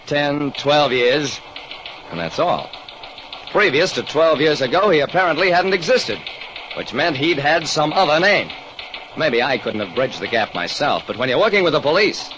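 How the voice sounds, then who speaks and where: A man's voice: a little high, noisy background. A man playing a shrewd, wry hardboiled private detective narrating an old radio mystery. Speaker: narrator and lead actor. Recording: muffled old radio recording.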